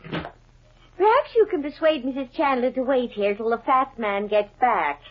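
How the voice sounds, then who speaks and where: A plucky young sidekick from a radio detective serial. Her high voice is animated and breezy. The old 1950s recording is dull and muffled. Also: measured pace. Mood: firm. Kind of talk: 1950s radio drama acting.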